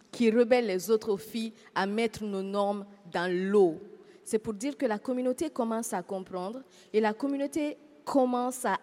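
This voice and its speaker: A woman's voice: medium pitch, dark tone. A woman panelist and activist speaking earnestly at a panel. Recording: reverberant hall.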